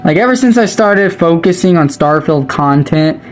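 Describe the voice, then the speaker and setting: A gaming video creator talking through a game update in a casual, excitable, rambling way, with a slightly raspy voice after a long stream. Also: mid-range voice, moderate pace. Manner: chatty and excitable, rambling with restarts. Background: faint ambient music.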